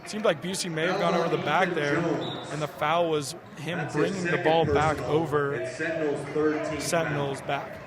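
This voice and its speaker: A man's voice: a little high, fairly even intonation, moderate pace, a loud crowd. A young man giving color commentary and analysis during a live basketball broadcast from a school gym. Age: young adult. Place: indoor school sports gym. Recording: noisy courtside gym, ball bounces behind. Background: gym ambience, bouncing basketballs, clear noise.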